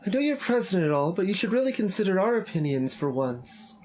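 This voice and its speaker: A man's voice: high voice, very animated, quick pace, a little mellow, a little hiss or hum, voice pushed up. A man voicing a blunt, argumentative teenage schoolgirl while reading visual novel dialogue. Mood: blunt, insistent. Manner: earnest, argumentative. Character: blunt, assertive teenage schoolgirl in a literature club. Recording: game music behind the voice.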